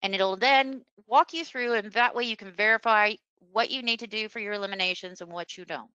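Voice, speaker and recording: A woman presenting a webinar as a software trainer, explaining a screen demonstration step by step in an unscripted way. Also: medium pitch, brisk, clear, resonant tone, dry recording, dull audio. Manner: instructional, step-by-step.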